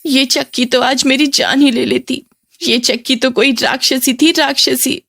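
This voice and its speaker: A woman's voice: sits high, fairly even intonation, measured pace, vocal fry, a bit bright, no room sound, pitched above the performer's own voice, heavily acted. A woman voicing a greedy, jealous neighbour woman in a children's moral story, with a sarcastic, melodramatic manner. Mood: shaken, wailing.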